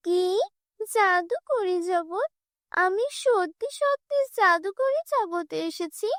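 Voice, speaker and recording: A woman voicing a wide-eyed, excitable poor village girl who exclaims in wonder in a fairy-tale cartoon. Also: very high-pitched, slow, dry recording, clean studio sound, exaggerated voice. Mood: amazed.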